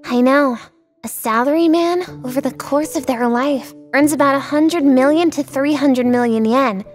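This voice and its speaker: Woman as a high-voiced, cocky schoolchild. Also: normal speed, close to an everyday voice. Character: know-it-all smart-aleck schoolchild. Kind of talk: scripted manga dub acting. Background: background music.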